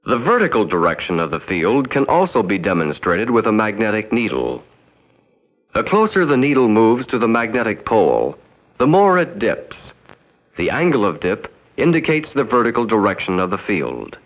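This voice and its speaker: An American man narrating a 1960s educational film in a formal, measured, no-nonsense way. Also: on the low side, huge pitch swings. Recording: dull 1960s 16mm film soundtrack.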